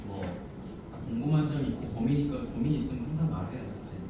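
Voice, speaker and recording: A man's voice: mid-range voice, fast talker. Echoey, noisy recording of a male math teacher. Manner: talks students through steps with frequent check questions. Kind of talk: classroom math lesson with casual chat to students. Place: classroom. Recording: distant mic, echoey noisy classroom.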